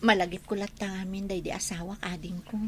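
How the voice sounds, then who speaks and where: A weary older Ilocano woman in mourning played by a female actor in a radio drama. She is subdued, medium in pitch, with slight noise around the voice. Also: flat delivery, brisk, fairly bright tone, close to an everyday voice. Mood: sorrowful. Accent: Ilocano, northern Philippines.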